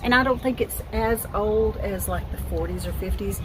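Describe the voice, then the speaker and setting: A woman's voice: mid-range voice, normal speed, music in the background, poor audio. A woman in her fifties, chatting casually about her thrift finds, rambling with frequent restarts. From United States. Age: 50s. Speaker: thrift haul vlogger. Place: at home.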